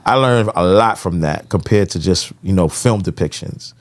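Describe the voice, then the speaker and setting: A man's voice: low-pitched, normal speed. A middle-aged man co-hosting a podcast, speaking in a laid-back, opinionated way with lots of fillers. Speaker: podcast co-host. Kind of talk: casual podcast chat.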